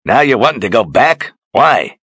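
A man playing a gruff, nervous ship captain who shouts and blusters. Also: medium pitch, big pitch swings, normal speed, dry recording, pitched above the performer's own voice. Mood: blustering.

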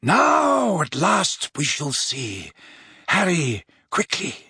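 A man playing an elderly, kindly wizard headmaster, courteous and measured, in a storybook reading. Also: a little high, huge pitch swings, sharp tone, husky, dry recording, clean studio sound, pitched above the performer's own voice, heavily acted. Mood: grave, urgent.

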